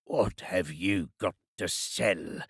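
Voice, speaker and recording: A man's voice: deep voice, slow pace, creaky, fairly bright tone, husky, no room sound, clean studio sound, exaggerated voice. A man voicing a sly, very old rag-and-bone dealer in a storybook reading. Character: grey-haired old rascal shopkeeper, very old man.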